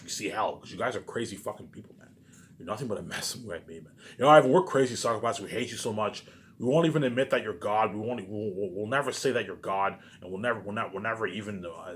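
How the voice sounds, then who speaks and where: A man with a fairly low voice talks very fast in a rambling, unscripted rant. He is agitated and profane, circling back over the same phrases and tripping on his words. There is faint noise behind him. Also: fairly bright tone. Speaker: vlogger.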